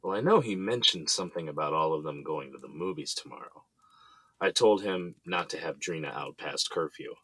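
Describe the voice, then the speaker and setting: A man playing a teasing, jokey husband and father in an audio drama, with a husky voice. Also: very deep voice, brisk, a bit bright, dry recording, full character voice, low-quality recording. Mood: playful. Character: playful, teasing middle-aged husband and proud father.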